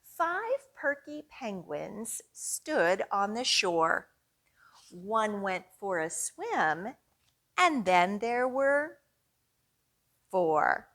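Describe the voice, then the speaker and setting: A woman leading a storytime for young children, reading expressively and guiding them along in an encouraging, teacherly way. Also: medium pitch. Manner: slow, expressive, encouraging, with clear teacherly guidance. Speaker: children's librarian hosting storytime.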